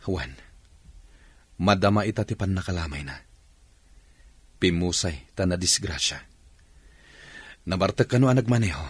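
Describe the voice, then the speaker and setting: Deep-voiced grieving Filipino husband played by a man. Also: animated, unhurried, pauses often, slightly rough, dry recording, exaggerated voice. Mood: somber. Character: sober newly widowed husband Albert, bitter and grieving. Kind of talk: Filipino radio drama acting.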